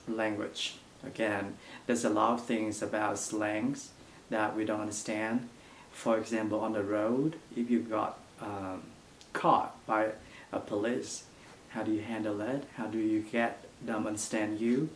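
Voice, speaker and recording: A young man from Vietnam with a Vietnamese accent, answering interview questions in halting English full of fillers. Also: fairly low pitch, level tone, a little hiss or hum. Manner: halting non-native English with frequent fillers. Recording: echoey room.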